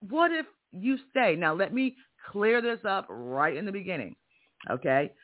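A man's voice: high-pitched, sing-song, normal speed. Man, strong voice, emphatic motivational host, rhetorical questions, phone-line audio. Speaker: career podcast host. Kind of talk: motivational monologue.

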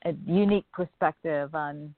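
A guest from Jamaica who works in film, answering interview questions in a thoughtful, measured way. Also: medium pitch, no echo. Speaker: filmmaker guest. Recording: dry, phone-like line.

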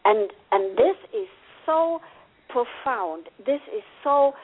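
A woman's voice: fairly high pitch, animated, takes it slowly, slight background noise. A woman with a German accent, an author chatting earnestly in an interview and laughing often. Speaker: author and guest. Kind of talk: casual interview chat. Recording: phone-like radio audio.

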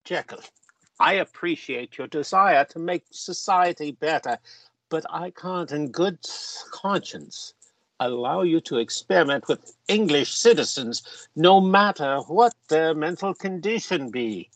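Man acting a pompous, measured gentleman politician. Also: fairly high pitch, expressive, no echo, full character voice, low-quality recording. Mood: firm, disapproving. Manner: pompous, measured, old-fashioned gentlemanly phrasing. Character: genteel, self-satisfied male member of Parliament, old university friend. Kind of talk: radio play acting.

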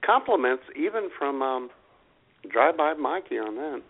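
A man's voice: medium pitch, sing-song, normal speed, husky. A man co-hosting a talk show, telling casual comic stories and acting out exchanges with customers. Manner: acts out customer exchanges as he talks. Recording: thin phone-like lo-fi sound.

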